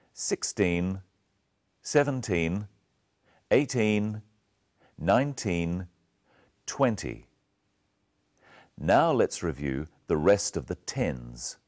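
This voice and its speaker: A man's voice: low voice, a little mellow. A man presenting a scripted English lesson, instructing learners in carefully enunciated phrases.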